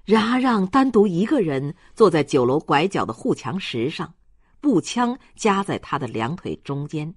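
Husky woman narrating, fairly low, expressive. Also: moderate pace, no echo, clean studio sound. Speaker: audiobook narrator. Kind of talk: scripted novel narration.

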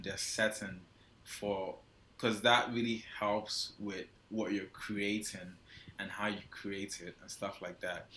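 Young Nigerian man, bright roomy voice. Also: lowish voice. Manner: loose and hesitant, heavy use of "like" and restarts. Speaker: guest photographer. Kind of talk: casual interview answers. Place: small indoor room. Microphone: wired earphone mic on a video call. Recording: roomy echo.

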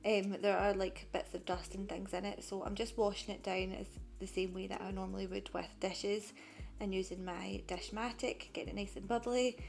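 A woman's voice: mid-range voice, little pitch movement, normal speed, vocal fry, a little mellow, faint music behind. A young woman narrating her cleaning vlog over the footage in a chatty, matter-of-fact way. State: pregnant. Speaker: cleaning vlogger.

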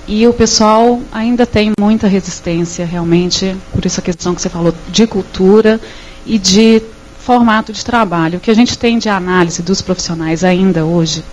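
A woman with a Brazilian Portuguese accent, asking a measured question from the audience of a small auditorium. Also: on the low side, a little mellow, a little hiss or hum, rough recording.

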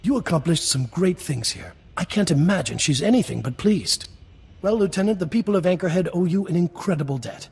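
Nice earnest small town mayor in a game, creaky voice, kinda high, gushes a bit. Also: male voice, animated, brisk, exaggerated voice. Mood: grateful. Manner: hesitant, warm, effusive. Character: friendly, earnest middle-aged male small-town mayor. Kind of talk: video game voice acting.

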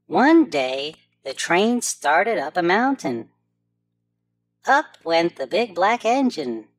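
A woman reading a storybook aloud to preschoolers with clear, deliberate enunciation. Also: lowish voice, very animated. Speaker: children's storybook narrator.

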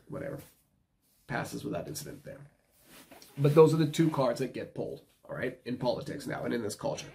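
A man's voice: mid-range voice, vocal fry, darkish tone. An American man in his thirties giving opinionated, casual commentary to camera, emphatic and repeating his points for stress, recorded indoors in a small room. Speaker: video commentator.

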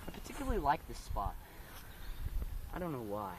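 A man's voice: mid-range voice, fairly quick. Goofy teen boy, outdoors, noisy. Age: teens. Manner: goofy deadpan comic patter. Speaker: comedy video maker. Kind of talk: mock survival tutorial. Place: outdoors in a park. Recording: distant camera mic, loud outdoor noise.

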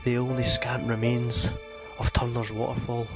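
Male voice-over, slow and flat, mock-grave documentary delivery over loud music. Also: mid-range voice, gravelly. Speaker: narrator.

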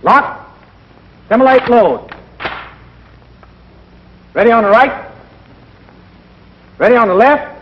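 A man's voice: very high-pitched, expressive, takes it slowly, long pauses. A man calls out firing range commands and counts in short, clipped phrases. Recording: dull, band-limited old training film soundtrack.

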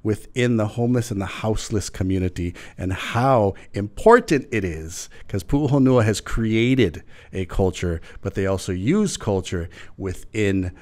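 A man's voice: on the low side, expressive, measured pace, hardly any pauses, darkish tone. A man, hosting a podcast interview in a small studio at a mic, talking animatedly with many restarts. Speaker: podcast host. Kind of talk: casual interview chat. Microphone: studio mic with headphones.

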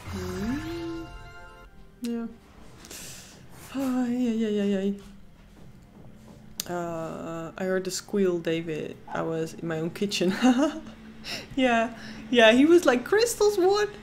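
A woman's voice: fairly high pitch, expressive, slow pace, pauses often, soft background music. A young Dutch woman with a Dutch accent, co-hosting a live casino stream, chatting with viewers and reacting to the game. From Netherlands. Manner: chatty, reads chat aloud, squeals at wins. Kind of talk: live stream chat and commentary.

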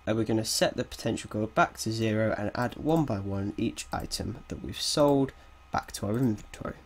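A man with a cold, sounding a little croaky, thinking aloud through a coding tutorial with hesitant pauses. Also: lowish voice. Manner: thinks aloud while typing, hesitant, chuckles at mistakes. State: has a cold, slightly croaky. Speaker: game development tutor. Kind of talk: casual screen-recorded coding tutorial. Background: keyboard typing.

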